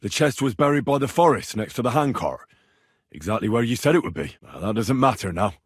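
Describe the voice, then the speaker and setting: A man's voice: mid-range voice, big pitch swings, brisk, breathy edge, no room sound, clean studio sound. A British man acting a plain-spoken young medieval servant and adventurer in a video game, earnest and conversational. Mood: matter-of-fact. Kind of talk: scripted game character dialogue.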